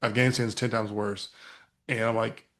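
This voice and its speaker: A man's voice: on the low side, level tone, normal speed, fairly bright tone. A man in his forties, a podcast host, telling a casual story with lots of fillers and restarts. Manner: rambling, many fillers and restarts.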